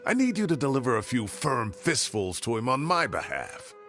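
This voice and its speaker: Lively, indignant elderly professor. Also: male voice, medium pitch, normal speed, soft background music. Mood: exasperated, indignant. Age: older. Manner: exasperated, theatrical, expressive. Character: indignant elderly male retired professor, theatrical and grumbling. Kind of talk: video game voice acting.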